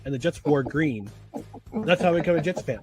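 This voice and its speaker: A man's voice: on the high side, lively intonation, a little fast, music in the background. A man talking sports as a podcast personality in a promo. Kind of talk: sports talk clips in a promo.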